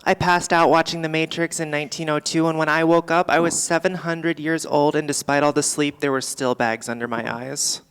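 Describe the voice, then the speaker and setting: A performer playing a boozy, world-weary middle-aged female comic, doing a rambling, deadpan stand-up monologue live on a theatre stage. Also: mid-range voice, a little fast, few pauses, clean tone, big-room sound, close to an everyday voice. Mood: wry, teasing. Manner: rambling, deadpan, theatrical, swinging between asides and outbursts.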